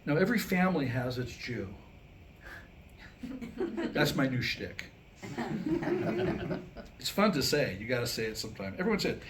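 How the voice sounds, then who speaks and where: An American man performing a staged comic monologue in a wry, self-deprecating way, with deliberate pauses around his punchlines. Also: mid-range voice, very animated. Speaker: writer and performer reading his own new comic script. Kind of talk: staged comedic monologue to a live audience. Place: live staged reading before a small audience. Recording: noisy live room recording.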